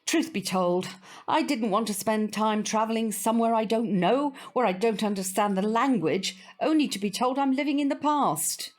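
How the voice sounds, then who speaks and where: A woman's voice: a little high, moderate pace, lightly acted. A woman playing a prim, old-fashioned older British grandmother, opinionated and wistful, in an audio drama. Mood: wistful, resigned. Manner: prim, proper, hesitant around awkward subjects.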